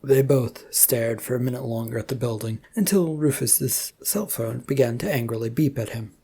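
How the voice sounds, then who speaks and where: A narrator reads scripted fiction in a mid-range voice. The delivery is gravelly and husky, with a soft, mellow tone. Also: mid-range voice, moderate pace.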